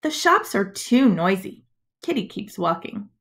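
A woman reading a storybook aloud to young children as a schoolteacher, in a deliberate, expressive manner. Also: mid-range voice. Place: indoors, webcam screen recording.

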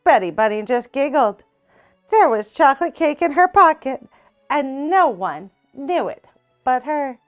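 Slow, expressive storybook-reading woman. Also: high-pitched, dull audio, faint music behind. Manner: slow, expressive read-aloud with character voices. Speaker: storytime reader. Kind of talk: reading a picture book aloud. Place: small indoor room.

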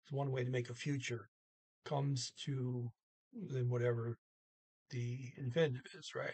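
A man in his sixties giving an informal language lesson, halting and deliberate, going word by word with pauses. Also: medium pitch, fairly even intonation, a little mellow, slightly rough. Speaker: language teacher. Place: small room indoors at home. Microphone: webcam or computer mic at arm's length.

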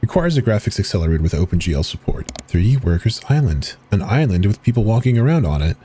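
A man giving a slow, deliberate dramatic reading of forum posts and web pages in an ominous tone. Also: on the low side, sing-song, dark tone, a little hiss or hum. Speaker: channel host reading horror texts.

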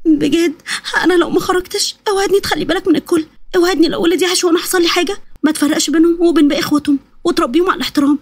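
A woman's voice: high voice, animated, very fast, no echo. A woman voicing an anxious, exasperated young pregnant wife with an Egyptian accent, emotional and complaining. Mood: tearful, scared. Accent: Egyptian Arabic.

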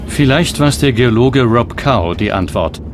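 A man's voice: mid-range voice, expressive, a little slow, over music. A man delivering scripted narration for a documentary.

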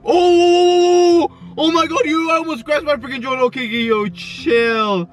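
A man in his twenties filming an excited vlog from his parked car, talking fast and exclaiming often. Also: very high voice, soft background music. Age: 20s. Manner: hyped, exclamatory, lots of yo and oh my god. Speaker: YouTuber. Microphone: camera facing him in car.

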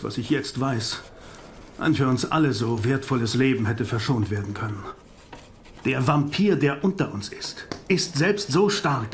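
A man acting in a German radio drama as a grave, commanding vampire-hunting professor who speaks in deliberate oratory. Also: mid-range voice, slight background noise, natural voice. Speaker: voice actor. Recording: music behind the voice.

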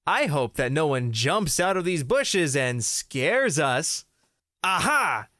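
An excitable, bossy little boy knight from a kids' comic , acted out by a woman. The delivery swings up and down wildly but takes its time, with a close, dry sound. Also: low voice, slow pace, dry recording, heavily acted. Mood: dutiful, alert. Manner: exclamatory, playful, dramatic. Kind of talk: children's comic read-aloud.

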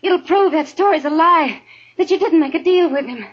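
Desperate Old West woman, American, high voice, muffled vintage radio drama. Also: normal speed. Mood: pleading, desperate. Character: desperate, pleading frontier townswoman. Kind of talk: 1950s radio western acting. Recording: dull 1950s radio recording.

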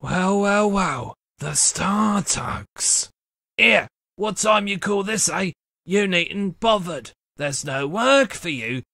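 A man's voice: high voice, expressive, slow pace, creaky, sharp tone, no room sound, studio quality, exaggerated voice. A man voicing a gloating, scheming cartoon tugboat bully with slangy taunts.

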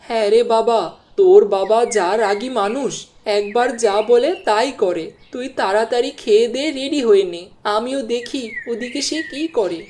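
Cartoon casting: a woman as a fussing, doting village mum, high voice, coaxing delivery, noisy background. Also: normal speed, darkish tone, natural voice. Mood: fond. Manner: coaxing, motherly. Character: doting, fussing middle-aged village mother. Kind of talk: cartoon dialogue acting. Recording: clear background noise.

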